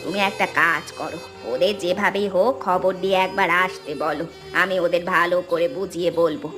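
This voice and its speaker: A woman plays an ailing village wife in a cartoon tale. Her voice is on the low side, demanding and complaining, with music clearly underneath. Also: fairly even intonation, brisk, natural voice. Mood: insistent. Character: moneylender's ailing, demanding but fair wife. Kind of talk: Bengali cartoon story dubbing.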